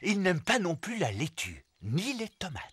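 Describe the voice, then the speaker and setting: Animated male cartoon narrator. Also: high voice, normal speed, slight background noise. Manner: clear, storytelling tone. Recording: cartoon soundtrack with music.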